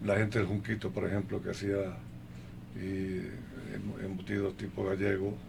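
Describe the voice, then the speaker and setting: An older man being interviewed in a conversational way, speaking haltingly and listing things one by one, with a gravelly voice. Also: fairly low pitch, monotone, background noise. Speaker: interview guest.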